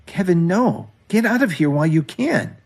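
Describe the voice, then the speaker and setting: A man's voice: high voice, expressive, moderate pace, a little mellow, pitched above the performer's own voice. A man voicing a distressed, tender young woman in an audio drama, fearful and pleading.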